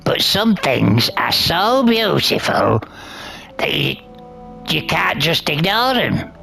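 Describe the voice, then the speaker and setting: A man voicing a flustered, evasive old wizard from a visual novel, with a creaky voice and a hesitant, stammering delivery. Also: on the high side, sing-song, takes it slowly, bright, forceful tone, faint music behind, heavily acted, low-quality recording. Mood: gentle musing. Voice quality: gravelly, creaky. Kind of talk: visual novel character read-aloud.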